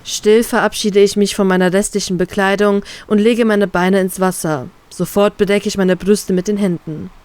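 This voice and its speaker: A woman reading her own first-person romance fan fiction with calm, steady narration. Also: medium pitch, normal speed, few pauses, smooth, no echo.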